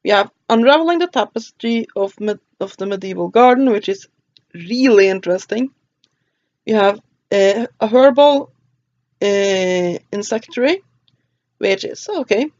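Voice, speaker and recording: A woman with a Norwegian accent talks through a book's contents in a relaxed, halting way, often pausing and tripping over names. Her mid-pitched voice is recorded dry and close. The sound is slightly muffled and dull. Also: no room sound. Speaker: book reviewer. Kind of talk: casual book review, reading a table of contents.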